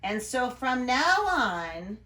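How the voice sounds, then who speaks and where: A woman's voice: on the high side, sing-song, takes it slowly, sharp tone, echoey room, pitched above the performer's own voice, exaggerated voice. A woman voicing an earnest, enthusiastic male school principal in a storybook, emphatic and proudly repeating himself. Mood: earnest, announcing. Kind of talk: storybook read-aloud.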